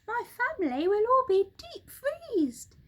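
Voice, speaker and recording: Woman doing an excitable, squeaky-high monkey father. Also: slow pace, exaggerated voice. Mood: worried. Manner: exclaiming, incredulous. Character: excitable, anxious monkey father in a children's storybook.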